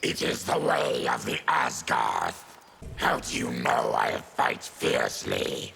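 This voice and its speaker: A man voicing a bitter, fierce fanged mutant warrior in a video game, with a gravelly growl and proud, menacing delivery. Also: very deep voice, pushed and bright, a little hiss or hum, full character voice. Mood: menacing, brooding. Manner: slow, menacing, proud declarations. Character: fierce, bitter, diseased Tarkatan mutant warrior with huge fangs. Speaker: retired soldier. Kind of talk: video game fighting banter.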